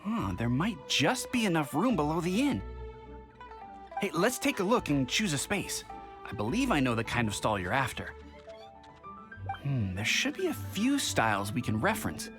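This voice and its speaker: Genial, lively-pitched innkeeper. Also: male voice, moderate pace. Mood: amused, pondering. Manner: chatty, thoughtful, polite. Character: genial, practical middle-aged male innkeeper. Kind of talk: video game dialogue. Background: music.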